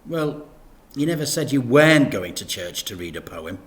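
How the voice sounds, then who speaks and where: A man's voice: medium pitch, big pitch swings, normal speed, a bit bright. A man voicing a cheeky, earnest young boy in a children's storybook, insistent and pleading.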